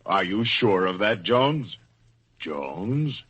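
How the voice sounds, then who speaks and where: An actor playing a flustered, officious tribunal chairman in a 1940s radio drama, hemming and formal in a husky voice. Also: on the low side, sing-song, very slow, fairly bright tone, heavily acted. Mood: officious, regretful. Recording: dull old-time radio recording.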